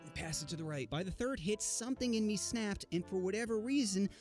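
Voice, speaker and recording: A young man telling a comedic story and acting out the quotes in animated voices. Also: sits high, a little fast, soft background music.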